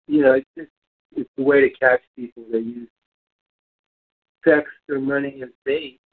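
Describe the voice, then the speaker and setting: A man talks casually in a flat, even voice, hesitating as he looks for the right words. He sounds thin and narrow, as though on a telephone. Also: mid-range voice. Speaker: discussion panelist. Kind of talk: casual discussion. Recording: thin phone-like line.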